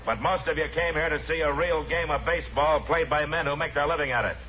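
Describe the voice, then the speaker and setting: A man playing a calm, commanding, plain-spoken frontier marshal in an old-time western radio drama. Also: fairly high pitch, quick pace, natural voice. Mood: firm, reasoning. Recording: noisy, dull vintage radio recording.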